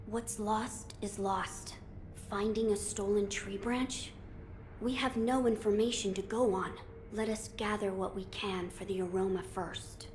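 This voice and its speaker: A woman's voice: medium pitch, steady tone, a little slow, a little hiss or hum, close to an everyday voice. A woman voicing an earnest young boy lord in a video game, formal and thoughtful. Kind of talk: video game cutscene acting.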